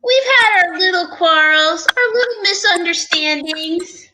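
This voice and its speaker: Woman playing a very high-pitched, wheedling inspector suitor. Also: measured pace, heavy background noise. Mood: coaxing. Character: male police inspector, slow-talking wheedling suitor. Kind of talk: hobby old-time radio show acting.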